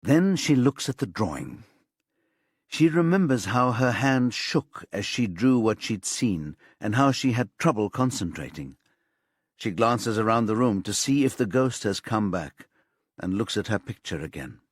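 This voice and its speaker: Low-voiced man narrating a suspenseful thriller audiobook. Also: expressive, measured pace, husky, no room sound, studio quality.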